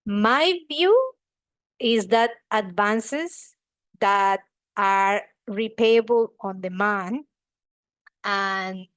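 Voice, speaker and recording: A woman's voice: mid-range voice, very slow, pauses often, a bit bright, no echo. A woman presenting a professional webinar in an explanatory, emphatic way, like a lecturer walking listeners through points. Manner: explanatory, emphatic, poses questions then answers them.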